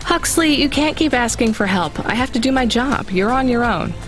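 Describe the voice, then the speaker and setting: A woman's voice: fairly high pitch, lively intonation, normal speed, faint music behind, natural voice. A woman playing a wry, conscientious young delivery driver in naturalistic, conversational video game dialogue. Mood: exasperated.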